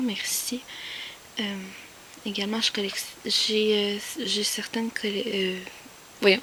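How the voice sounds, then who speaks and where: A woman with a Québécois French accent, whispering softly as she shows off her collection, with many hesitant fillers. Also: mid-range voice, a little hiss or hum, rough recording. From Quebec. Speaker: collector showing finds. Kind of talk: soft whispered show-and-tell.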